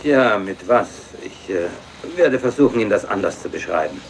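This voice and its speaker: A man's voice: lowish voice, lively intonation, normal speed, creaky, slight background noise. An actor playing an eager, eccentric male professor in a film dub. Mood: eager, polite.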